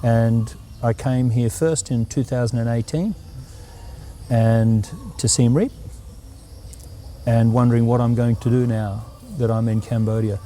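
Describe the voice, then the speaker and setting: Middle-aged aussie guy, real dark mellow voice, pretty flat, thoughtful, faint noise behind. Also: mid-range voice. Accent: Australian. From Australia. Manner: earnest, reflective, unhurried. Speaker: guest. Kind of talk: interview answers.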